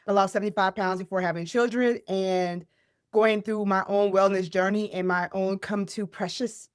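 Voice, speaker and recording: A woman's voice: mid-range voice, dry recording, studio quality. A woman from Chicago, around her thirties or forties, talking casually as an interview guest, playful, emphatic and slangy. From Chicago, United States. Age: middle-aged millennial, 30s to 40s. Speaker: podcast guest, wellness coach and motivational speaker.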